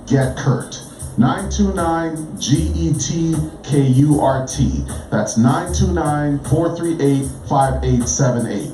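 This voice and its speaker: A man reading a radio ad as a personal injury lawyer, punchy and slow on the phone number. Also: on the high side, warm tone. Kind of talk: radio ad read. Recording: echoey, music behind.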